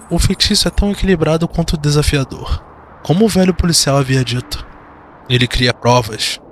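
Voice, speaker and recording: A man's voice: medium pitch, slight background noise. A man with a Brazilian accent giving dramatic scripted narration of a fantasy audio drama. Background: background music.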